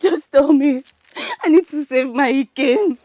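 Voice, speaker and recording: A heartbroken young bride-to-be with a Nigerian accent, in a melodrama. Her high voice sobs with desperation, recorded close and dry. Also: extremely slow, dark tone, dry recording. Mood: desperate pleading. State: crying. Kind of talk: village melodrama film acting.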